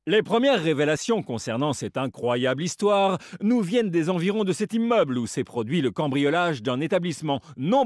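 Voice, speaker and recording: A theatrical male news anchor from an animated game. He reports sensationally in a raised voice that rises and falls widely. The sound is close and dry. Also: a little high, dry recording. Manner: dramatic, sensational, theatrical delivery.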